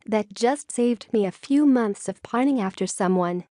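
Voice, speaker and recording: A woman reading forum posts aloud in a steady, even reading voice. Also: on the high side, a little slow, background noise.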